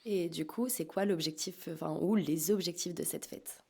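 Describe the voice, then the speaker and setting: Mellow, creaky-voiced woman interviewer. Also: lowish voice, moderate pace. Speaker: podcast interviewer. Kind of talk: conversational interview.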